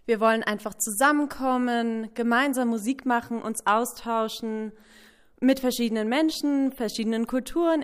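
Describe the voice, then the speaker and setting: Upbeat young woman with a high voice. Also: clean tone. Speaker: music association organizer. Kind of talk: casual welcome introduction.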